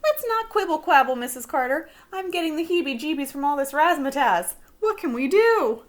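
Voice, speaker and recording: Storybook teacher, female reader acting: high-pitched, exasperated scold, rattles off silly rhyming words, very animated. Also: moderate pace. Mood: jittery.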